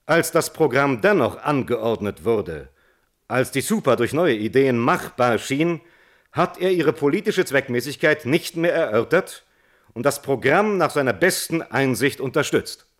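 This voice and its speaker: Guy acting a defence lawyer in a radio play, formal n deliberate, arguing his case, mid voice. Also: medium pitch, animated, close to an everyday voice. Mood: earnest, insistent. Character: measured, earnest defence lawyer pleading at a hearing. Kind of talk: courtroom plea in German radio drama.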